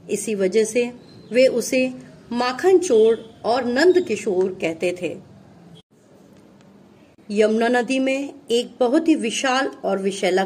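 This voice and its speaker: A woman's voice: on the high side, darkish tone, slight background noise. A woman narrating a scripted children's story as the narrator of a puppet show.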